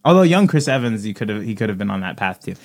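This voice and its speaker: A man's voice: lowish voice, very animated, quick pace. A young man co-hosting a podcast with casual film banter, opinionated, thinking aloud and swearing freely. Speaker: podcast co-host. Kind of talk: casual banter about films.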